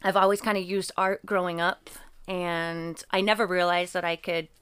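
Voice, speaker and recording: A woman's voice: on the low side, little pitch movement, moderate pace, fairly bright tone, smooth. A woman from England in casual conversation as an interview guest, with a mostly American accent that carries occasional English traces. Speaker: podcast guest, artist.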